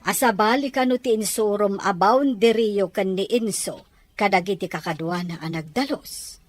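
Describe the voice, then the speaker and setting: A woman's voice: medium pitch, moderate pace, natural voice. A woman acting a concerned wife in a radio drama, with an Ilocano accent. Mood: confronting, worried. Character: Mirna, concerned wife confronting Pedro about boundary.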